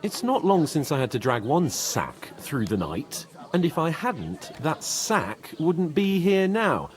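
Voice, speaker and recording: A man's voice: fairly high pitch, big pitch swings, takes it slowly. A man playing a haughty, spoilt young nobleman in a video game, pompous, sardonic and theatrical. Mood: indignant.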